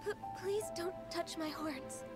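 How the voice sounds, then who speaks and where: A woman voicing a gentle, polite young half-beast maiden in an anime game trailer, demure and formal. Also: extremely high pitch, unhurried. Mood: gentle, earnest. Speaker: game character voice actress. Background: loud trailer music.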